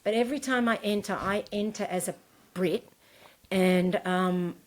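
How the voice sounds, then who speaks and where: A woman endurance coach from Scotland talks casually on a podcast, looping through fillers and restarting her sentences. Her pitch sits in an ordinary middle range. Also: measured pace. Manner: chatty, many fillers and restarts. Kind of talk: casual interview chat.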